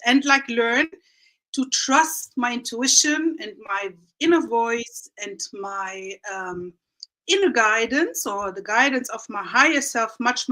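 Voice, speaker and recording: Bright, highish-voiced woman in her late forties. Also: poor audio. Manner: rambling, searching for words, emphatic repetition. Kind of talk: casual reflective spiritual chat.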